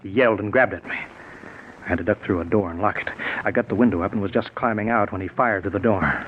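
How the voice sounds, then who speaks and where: A man plays a cold, commanding scientist villain in a 1940s radio thriller. His voice is on the low side and he brushes off all objections with full confidence. The recording is dull, with some noise behind it. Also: fast, natural voice. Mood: strained, matter-of-fact. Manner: dismissive, self-assured. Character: cold, commanding male scientist turned invisible killer.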